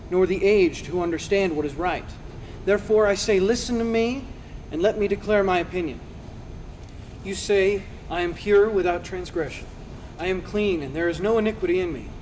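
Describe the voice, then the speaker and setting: A man plays an angry young man in a Bible drama. The male voice is very high and declaims forcefully at his elders, with long hall reverb around it. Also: lightly acted. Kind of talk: dramatized scripture recitation. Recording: long hall reverb, faint background noise.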